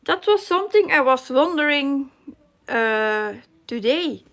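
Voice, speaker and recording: A woman's voice: high voice, lively intonation, takes it slowly, a bit bright. A middle-aged woman with a Dutch accent hosting a casual live chat, reading comments aloud and searching for her English words. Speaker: cross-stitch live stream host.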